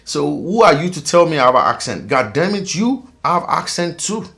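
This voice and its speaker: A man from Africa hosting his own vlog, talking casually in a rambling, animated way with many fillers. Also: a little high, moderate pace, gravelly. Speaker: vlogger host.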